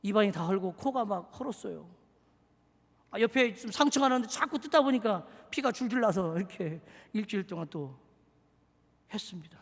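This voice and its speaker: A pastor from Seoul in his forties preaching a sermon, swinging from shouted exhortation to hushed, confiding asides. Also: high voice, expressive, brisk. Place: church sanctuary. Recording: reverberant church hall.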